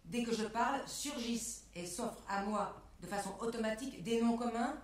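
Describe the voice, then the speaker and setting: A woman delivering a scripted spoken exposé in a measured, carefully enunciated way, setting phrases apart like quotations. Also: on the high side, distant mic. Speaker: performer in a spoken-word performance lecture. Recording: echoey room, picked up from afar.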